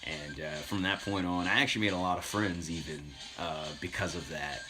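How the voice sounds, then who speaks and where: A man's voice: low-pitched, a little fast, music in the background. A man casually telling anecdotes as a streamer, in an informal, self-deprecating way.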